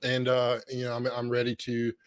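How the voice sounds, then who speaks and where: A man's voice: mid-range voice, monotone, normal speed, clean tone, dry recording. Chatty upbeat man co-hosting a podcast. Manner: upbeat, chatty, many fillers and restarts. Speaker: podcast co-host. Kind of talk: casual chat. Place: home corner set up for the podcast. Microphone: podcast microphone.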